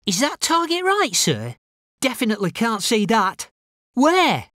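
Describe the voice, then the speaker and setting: A man's voice: very high-pitched, huge pitch swings, measured pace, bright, forceful tone, no room sound, clean studio sound, full character voice. An actor playing a timid, unsure infantryman in a video game, speaking hesitantly and politely. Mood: hesitant, obedient. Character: timid, unsure British infantry soldier, eager to obey. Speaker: infantry soldier unit voice. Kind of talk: video game unit barks.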